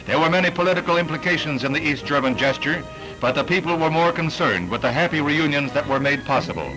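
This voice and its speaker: Male American newsreel announcer, 1960s style. Formal and declamatory, slightly high-set voice, music running strongly underneath. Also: expressive, fast, rough recording. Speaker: newsreel narrator. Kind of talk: scripted 1960s newsreel narration. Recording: vintage 1960s newsreel soundtrack. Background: orchestral newsreel music.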